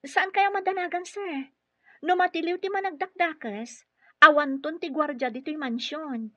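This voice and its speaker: High, bright-voiced deferential young nurse. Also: female voice, moderate pace, no echo. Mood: polite, evasive. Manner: respectful, addressing superiors as sir. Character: young female nurse caregiver, polite and deferential to her employer. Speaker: radio drama actor. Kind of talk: Ilocano radio drama acting.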